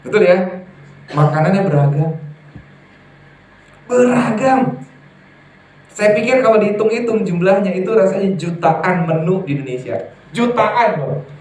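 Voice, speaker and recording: High-voiced preacher in an echoey hall. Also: male voice, unhurried, pauses often. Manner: lively, rising to emphatic peaks, asks the congregation questions. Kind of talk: sermon to a congregation. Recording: echoey hall from far off, faint ambience.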